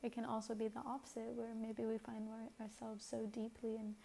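Soft-voiced woman doing a gentle spiritual talk, kinda flat and low-key, lots of ums. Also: fairly quick, vocal fry, slight background noise. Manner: gentle, hesitant, many fillers. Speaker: spiritual podcast host.

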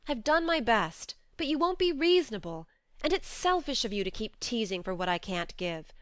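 Woman voicing an earnest, clear-voiced young woman. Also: fairly high pitch, big pitch swings, moderate pace, fairly bright tone, no echo, studio quality, voice pushed up. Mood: losing patience. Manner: earnest, imploring, firm. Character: frank, tender but resolute young woman refusing a suitor. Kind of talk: audiobook character voice.

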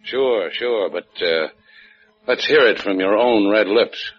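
Low-voiced wisecracking American adventurer. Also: male voice, slow pace, husky, soft background music. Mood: wry, cool. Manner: wry, laconic, sardonic. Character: wisecracking, cool American adventurer and hotel keeper. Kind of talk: 1950s radio drama acting. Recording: dull lo-fi old radio recording.

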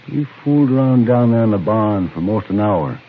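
Actor as low-voiced, folksy rural American rancher. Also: male voice, expressive, normal speed, lightly acted. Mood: puzzled. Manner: folksy, plainspoken. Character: folksy, easygoing Old West rancher. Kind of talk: 1950s radio western acting. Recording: muffled, noisy vintage radio recording.

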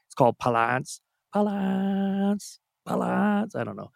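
Canadian guy rambling thru stories, gravelly voice, dry close sound. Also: high-pitched, big pitch swings, slow, no room sound. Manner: storytelling, self-deprecating, many restarts. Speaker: music producer and guest. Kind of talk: casual podcast chat.

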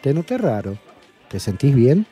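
Very mellow, hearty Argentine host, acted by a man. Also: mid-range voice, very animated, normal speed, slightly rough, faint music behind. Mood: concerned, friendly. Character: hearty, hospitable male friend hosting dinner. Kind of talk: radio play acting.

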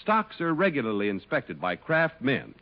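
A man's voice: mid-range voice, wildly swooping pitch, normal speed. A man announcing on mid-century radio, reading scripted copy and narration with an emphatic, polished delivery. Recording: dull vintage radio broadcast recording.

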